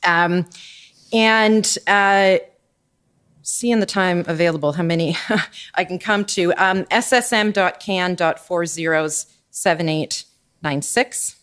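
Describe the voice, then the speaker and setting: A woman with a somewhat low voice examines a witness at an inquiry. She is methodical, reading out document references slowly with frequent fillers. Recorded on a desk microphone in a hearing room. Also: a bit bright, smooth. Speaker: lawyer questioning a witness. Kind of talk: formal examination at a public inquiry.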